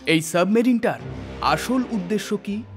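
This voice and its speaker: A male announcer with a high-pitched voice gives a scripted teaser for a drama serial. The delivery is built for suspense, with music clearly heard behind it. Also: animated, a little slow. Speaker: episode announcer.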